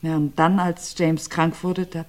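An actress playing an abused widow being questioned about her husband's death, with hesitant, halting answers that often sink to a near whisper. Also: level tone. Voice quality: low, subdued, often drops to a near whisper. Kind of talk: radio crime play.

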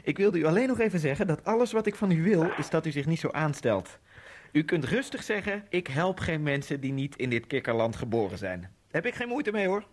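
An actor playing a proud Egyptian immigrant man in a radio play, polite yet insistent and needling. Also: on the high side. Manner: polite but insistent, goading, bursts of swearing. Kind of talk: radio drama acting.

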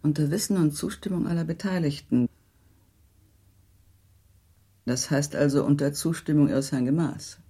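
An actress playing a gracious, tactful minister's wife in a German radio play, speaking in a formal, measured, diplomatic way. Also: female voice, deep voice, steady tone, warm tone, husky.

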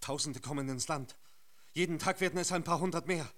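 An actor playing an earnest, persuasive mission priest in a radio drama, speaking with insistent emphasis. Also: male voice, fairly high pitch, fairly quick, a bit bright, lightly acted. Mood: urgent, persuasive.

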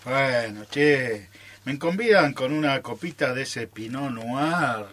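Man acting a teasing, theatrical Argentine guy, bright voice. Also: medium pitch, expressive. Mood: playful. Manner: coy, theatrical, drawn-out. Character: teasing, stubborn man guarding his secret recipe. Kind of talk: amateur radio theatre acting.

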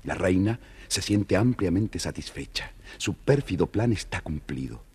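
A man narrating a children's fairy tale from a script in a theatrical, raspy voice. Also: low voice, animated, a little slow, darkish tone. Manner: theatrical storytelling.

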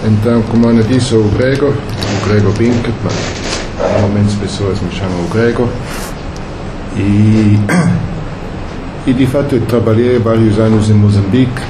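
A man speaking Portuguese as a second language, his accent carrying faded Brazilian traits, in a fairly low voice. He talks in a friendly, unhurried way and pauses now and then to find a word. The room is echoey and the background is noisy. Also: fairly even intonation. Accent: foreign speaker of Portuguese with faded Brazilian traits. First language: not Portuguese. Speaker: World Bank country director. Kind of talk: workshop welcome remarks. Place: meeting room.

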